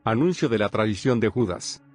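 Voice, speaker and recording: Male narrator for audio drama scripture, steady, faint music. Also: lowish voice, moderate pace.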